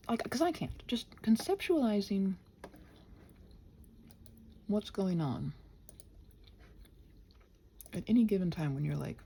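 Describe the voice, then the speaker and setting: A woman's voice: lowish voice, gravelly, mellow, husky. A woman rambling casually to herself in a body-doubling ASMR style, scattered and muttering, often slipping toward a whisper. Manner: playfully talks to the computer and quotes imagined exchanges. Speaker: channel host rambling while working.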